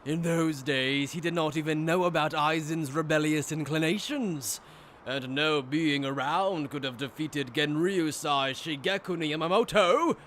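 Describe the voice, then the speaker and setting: A man's voice: on the high side, measured pace, fairly bright tone, a little hiss or hum. A man playing a smug, taunting aristocratic villain who talks down through rhetorical questions. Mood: smug, probing.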